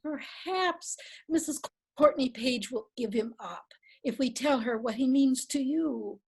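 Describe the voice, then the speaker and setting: A woman reading Agnes, gentle and slow. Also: sits high, creaky, slightly rough, full character voice. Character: quiet domestic woman, loyal old friend. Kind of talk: readers theatre play reading.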